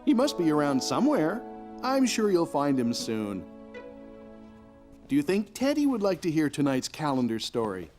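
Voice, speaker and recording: Gentle cartoon dad, soothing n patient, voice swoops a lot. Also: male voice, on the high side, huge pitch swings, normal speed, faint music behind. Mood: warm, patient. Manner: soothing, encouraging. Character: gentle, patient young father at bedtime. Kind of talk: children's cartoon acting, storybook telling.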